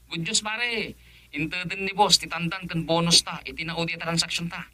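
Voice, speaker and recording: A man's voice: on the high side, steady tone, unhurried, bright, forceful tone, dry recording. A man playing a cheerful, laughing friend who calls with good news in a radio drama. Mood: upbeat. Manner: laughing, upbeat.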